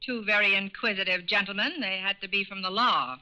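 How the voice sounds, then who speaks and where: A woman's voice: mid-range voice, fairly quick, bright, forceful tone. An actress playing a shrewd, self-assured woman con artist in a 1940s radio crime drama. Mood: cool, assured. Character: shrewd, cool-headed female con artist, sometimes feigning worried mother. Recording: muffled old radio broadcast recording.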